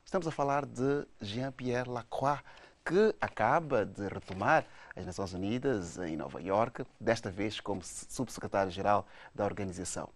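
A man hosting a formal news interview, asking measured questions. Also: on the low side.

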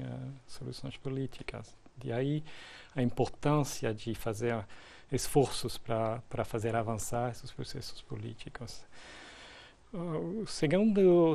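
Gravelly, hesitant man. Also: mid-range voice, mellow. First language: French. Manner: deliberate, hesitant with many fillers. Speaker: UN official, guest. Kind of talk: interview answers.

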